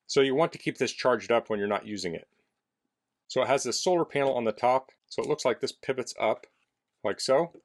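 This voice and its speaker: A man's voice: medium pitch, brisk. A man casually demonstrating a product indoors in a basement, explaining things in a plainspoken way as a reviewer.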